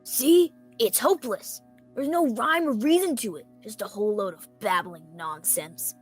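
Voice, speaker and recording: A woman playing an exasperated older small-town sheriff in radio theatre, blunt and husky. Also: on the high side, expressive, normal speed, faint music behind. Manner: blunt, exclamatory.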